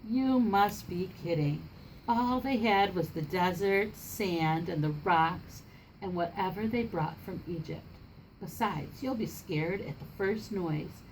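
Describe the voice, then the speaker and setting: A woman's voice: fairly low pitch, takes it slowly, poor audio. Storybook role: scornful young sister, taunting and smug played by a woman, light background noise. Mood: teasing, scornful. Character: teasing, scornful young girl taunting her brother.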